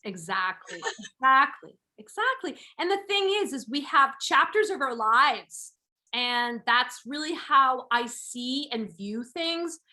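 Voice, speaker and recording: A woman's voice: sits high, animated, takes it slowly, bright, forceful tone. A woman in her forties hosting a podcast interview, gushing and enthusiastic, repeating words for emphasis. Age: 40s. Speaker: podcast host. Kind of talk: upbeat interview chat.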